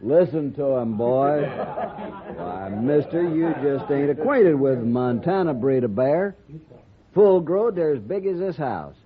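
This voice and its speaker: An actor playing a cocky, quick-tempered ranch hand with a mocking Western American drawl in a radio western. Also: male voice, a little high, expressive, a crowd in the background. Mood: boastful, mocking. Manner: folksy drawl, taunting. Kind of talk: old-time radio western acting. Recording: muffled, narrow-band old radio recording.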